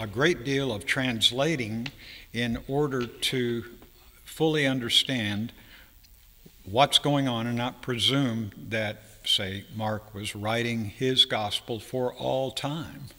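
Slow, deliberate older preacher in echoing hall. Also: male voice, mid-range voice, bright, forceful tone. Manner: deliberate, halting phrasing with pauses mid-sentence. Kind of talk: sermon, explanatory teaching. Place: church sanctuary, speaking from a pulpit. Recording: reverberant hall, long echo.

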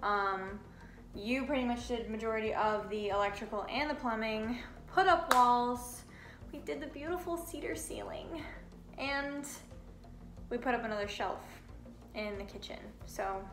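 A woman's voice: on the high side, unhurried. A young woman co-hosting a van build vlog, talking casually and chatty, often exasperated. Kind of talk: casual chat. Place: small living room, indoors.

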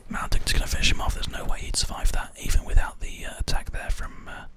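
Whispering ASMR gamer, very close. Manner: calm, unhurried, murmured running commentary. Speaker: host and gamer narrating play. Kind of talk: whispered ASMR game commentary. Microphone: close binaural microphone, ear to ear. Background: keyboard typing and mouse clicks.

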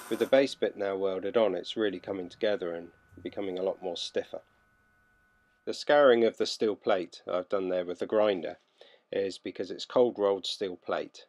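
A man in his thirties from the UK, casually narrating his boat-building work in a relaxed, practical way with frequent ums. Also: deep voice.

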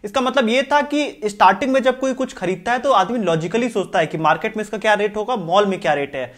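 A young man hosting a business explainer, narrating in a steady, scripted way and talking with his hands, recorded on a lapel mic in a studio. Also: sits high, fast talker.

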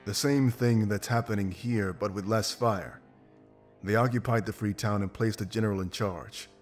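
An actor playing a confident, pragmatic wolf mercenary in a video game, blunt and self-assured. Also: male voice, fairly low pitch, moderate pace, close to an everyday voice. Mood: reflective. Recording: music behind. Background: game music.

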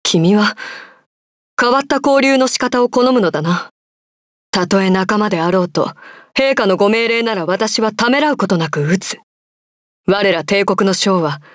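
Actress as dutiful woman knight; measured, stern, dry booth. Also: medium pitch, lively intonation, no room sound, natural voice. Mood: resolute. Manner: formal, measured delivery. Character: stern young female imperial knight, loyal and dutiful. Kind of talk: game voice clips.

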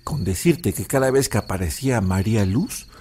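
An actor playing a gentle old Mexican village grandfather who soothingly tells ghost legends in an audio drama. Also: on the low side, animated, moderate pace, slight background noise, close to an everyday voice. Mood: nostalgic storytelling. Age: older.

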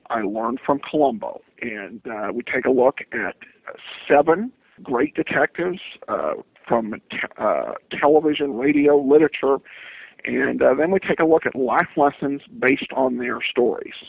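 A man's voice: mid-range voice, vocal fry, slightly rough, no room sound. Man on a muffled phone-like line. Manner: halting, rambling delivery. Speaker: podcast host, interviewed guest. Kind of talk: conversational interview answers.

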